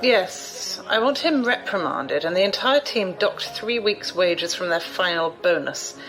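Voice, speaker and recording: A woman's voice: fairly low pitch, animated, measured pace, a bit bright, soft background music, rough recording. A woman acting an imperious, demanding aristocratic executive in an audio drama, curt and haughty. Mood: imperious, impatient.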